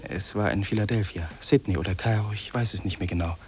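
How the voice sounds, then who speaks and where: A man's voice: low voice, a little fast, slight background noise, natural voice. An actor playing a lonely, despairing young man in an earnest, anguished 1950s German radio play.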